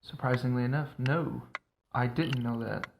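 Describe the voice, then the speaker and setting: A man's voice: mid-range voice, fairly even intonation, normal speed, gravelly, slight background noise, lightly acted. A man playing a greedy, cynical middle-aged uncle in an audio drama, sardonic, impatient and irreverent. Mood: dry, greedy. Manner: sardonic, dry. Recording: music behind the voice.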